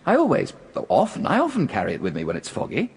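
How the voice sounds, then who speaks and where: A man playing a defensive, rattled British gentleman in the dock in a radio courtroom drama, with clipped answers. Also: medium pitch, wildly swooping pitch, fast, breathy edge, heavily acted. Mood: defensive, agitated. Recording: reverberant courtroom-like hall.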